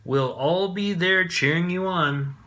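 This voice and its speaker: A man voicing an encouraging, authoritative male schoolteacher in a children's storybook, with a brisk manner. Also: high voice, sharp tone, voice pushed up, exaggerated voice. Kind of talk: children's storybook read aloud.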